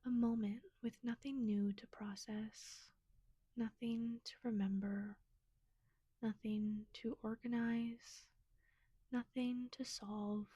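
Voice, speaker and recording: Female meditation guide: gentle and soothing, mellow soft tone, close dry sound. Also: on the high side, level tone, slow pace, breathy edge, no room sound.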